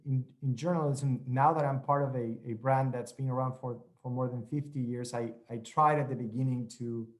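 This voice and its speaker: Mexican-accented man, halting panel talk. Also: mid-range voice, fairly even intonation, poor audio. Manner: halting, many restarts and repeated words. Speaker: journalist panelist. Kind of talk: online panel discussion.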